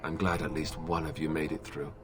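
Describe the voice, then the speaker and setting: A young warrior from a fantasy game. He sounds low-pitched, earnest and sober, with sympathy in the delivery. A faint bed of ambient noise sits behind him. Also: level tone, fairly quick. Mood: subdued, sympathetic. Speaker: game character, junior Grey Warden.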